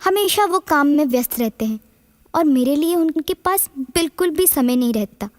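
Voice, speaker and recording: A woman voicing a young, excitable schoolgirl in a children's animated story, openly emotional and exclamatory, with music behind her. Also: high voice, moderate pace, dry recording. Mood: sad. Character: young rich schoolgirl, wistful, curious, excitable.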